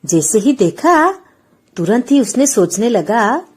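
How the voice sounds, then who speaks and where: A woman's voice: on the high side, sing-song, slow, a little mellow, breathy edge. A woman narrating a scripted children's story as its storyteller.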